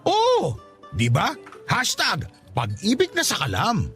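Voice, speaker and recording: A man's voice: sits high, wildly swooping pitch, slow pace, fairly bright tone, exaggerated voice. A man playing a gossipy young office worker in a radio drama, talking in a sly, conspiratorial way over a music bed. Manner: conspiratorial, teasing.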